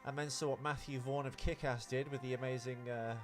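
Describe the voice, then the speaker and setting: A man's voice: mid-range voice, steady tone, a little fast. Man chatting over music. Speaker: podcast co-host. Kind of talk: casual film chat.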